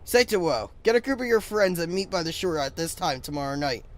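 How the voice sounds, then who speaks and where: An actor playing an earnest, reassuring creature leader with a formal, firm manner. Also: male voice, a little high, animated, brisk, fairly bright tone.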